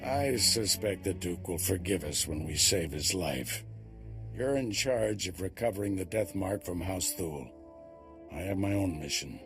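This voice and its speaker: An actor playing a decisive Jedi ally in a video game cutscene, commanding and dryly humorous. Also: male voice, low-pitched, expressive, unhurried, over music. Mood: resolute. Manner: commanding, dry.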